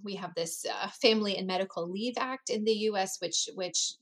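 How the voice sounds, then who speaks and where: A woman's voice: medium pitch, moderate pace, a bit bright. A woman chatting casually as a co-host, talking loosely with lots of fillers and restarts. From United States. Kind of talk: casual conversation.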